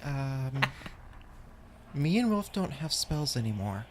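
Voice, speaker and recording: A man's voice: mid-range voice, very animated, takes it slowly, noisy background. A man talking casually as a player in a tabletop game. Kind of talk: casual tabletop play talk.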